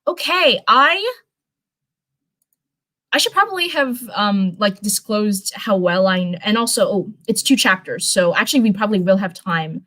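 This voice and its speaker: A woman's voice: mid-range voice, expressive, normal speed, fairly bright tone. A young woman hosts a casual livestream. She reads stories aloud and slips into rambling, informal commentary. She often backs up to fix what she has just said. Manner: rambling, informal, frequent self-corrections. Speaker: livestream host reading fan fiction. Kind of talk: casual livestream chat and story read-aloud.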